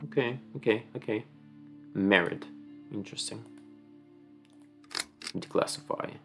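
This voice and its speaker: Man, Ukrainian accent, late twenties, lowish voice, gaming commentary, reads on-screen text, thinks aloud. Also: a little slow, vocal fry, soft background music. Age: young adult. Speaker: gaming streamer. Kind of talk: casual let's play commentary. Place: small room at home.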